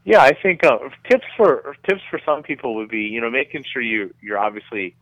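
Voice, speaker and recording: A middle-aged man giving measured, conversational explanations as a guest in a radio interview, often repeating words as he goes. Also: medium pitch, very animated, a bit bright, dry recording, rough recording. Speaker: guest researcher being interviewed.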